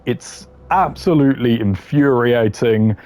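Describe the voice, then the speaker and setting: A man's voice: medium pitch, unhurried, darkish tone. A man giving casual, opinionated gaming commentary in a blunt, slangy way, ranking voice lines. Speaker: gamer ranking game voice lines.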